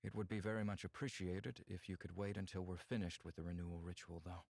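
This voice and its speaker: A man playing a reserved, candid alien lover in a visual novel, with measured, formal phrasing. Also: low-pitched, little pitch movement, gravelly, darkish tone, no echo, studio quality. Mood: polite, reserved. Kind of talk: visual novel character acting.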